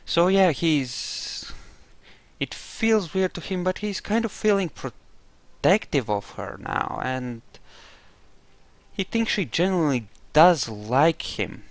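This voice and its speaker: A man's voice: fairly high pitch, lively intonation, slow pace, dry recording. A man giving casual, wry commentary on a game playthrough, musing aloud on a close mic. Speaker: gamer playing in character.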